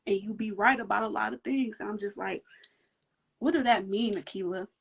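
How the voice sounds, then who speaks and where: A woman with a soft, middle-pitched voice speaks earnestly about her own experiences, repeating words as she finds them. The audio is dull and lo-fi. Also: brisk, vocal fry, slightly rough. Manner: earnest, repeats and restarts phrases. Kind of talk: casual personal sharing.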